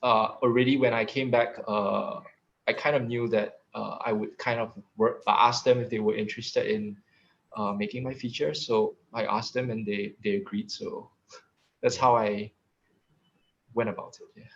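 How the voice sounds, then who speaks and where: A man's voice: fairly low pitch, fairly even intonation, normal speed, creaky, muffled recording. A man film director on a panel, speaking earnestly and hesitantly, with many uhs. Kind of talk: casual panel talk.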